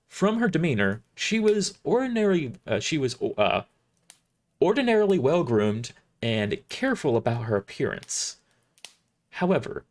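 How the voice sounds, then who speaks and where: A man narrating a first-person story live, reading aloud a little haltingly with self-corrections. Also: mid-range voice. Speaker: narrator and performer of own audio drama.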